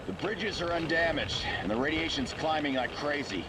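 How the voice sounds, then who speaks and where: A man's voice: a little high, expressive, normal speed, natural voice. An actor playing an alert soldier in a video game, reporting to his commander in a matter-of-fact way. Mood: wary, businesslike. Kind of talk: dramatic video game acting. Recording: loud outdoor vehicle noise.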